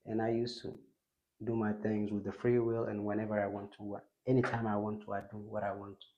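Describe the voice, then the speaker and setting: A man's voice: lowish voice, little pitch movement, brisk, darkish tone, echoey room, dull audio. A man from Ethiopia who grew up in Kenya, a guest telling his life story in a reflective way. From Ethiopia, raised in Kenya. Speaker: interview guest.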